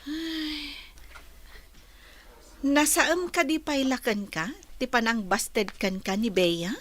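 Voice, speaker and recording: Casting: actress playing younger woman, family melodrama, high-pitched, dryly recorded, polite to elders. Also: steady tone, slow pace, no echo. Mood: inquiring. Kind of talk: radio-style drama acting.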